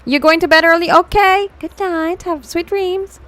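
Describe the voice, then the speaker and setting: A woman's voice: very high voice, steady tone, moderate pace, fairly bright tone. A woman with an Indian accent hosting a casual livestream, chatting informally with viewers and slipping between English and Hindi. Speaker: VTuber livestream host. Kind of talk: casual livestream chat reacting to fan art and viewers.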